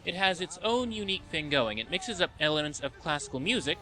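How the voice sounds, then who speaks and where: A man's voice: fairly high pitch, expressive, brisk, sharp tone. A man reading a scripted review narration as the host of a countdown list video.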